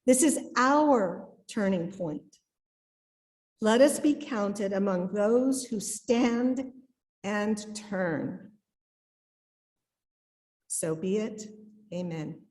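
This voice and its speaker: A woman delivering a sermon and prayerful benediction in a solemn, deliberate way, with measured phrases and pauses. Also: medium pitch, animated, a little mellow. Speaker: lay preacher reading a sermon and benediction. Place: church service in a reverberant room. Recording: roomy, echoing sound.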